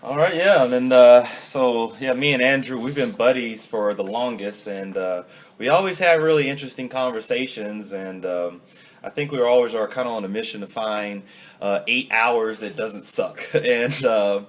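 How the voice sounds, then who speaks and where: A man hosting a casual podcast, talking in a relaxed, loose way with lots of fillers. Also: mid-range voice, normal speed. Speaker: podcast host. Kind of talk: casual chat.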